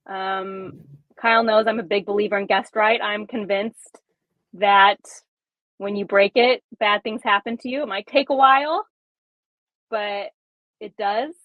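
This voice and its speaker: A woman host chats casually about fan theories at a middling pitch. The audio is dry and very narrow, like a phone line. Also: steady tone, measured pace, pauses often, dry recording.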